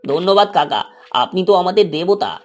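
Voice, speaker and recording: A man playing a brave, apologetic young village boy with a husky voice in a cartoon moral story. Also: sits high, sing-song, moderate pace. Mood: sheepish, grateful.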